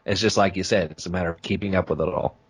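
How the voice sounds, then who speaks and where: Lower-voiced man in easygoing chat. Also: fairly even intonation, racing, breathy edge. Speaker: interview guest, podcaster and writer. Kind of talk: casual conversational chat.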